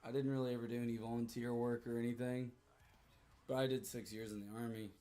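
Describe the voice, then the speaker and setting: A man in his thirties with a hoarse voice, chatting casually and profanely with his livestream audience. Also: mid-range voice, flat delivery, quick pace, warm tone. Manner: rambling, profane, joking with chat.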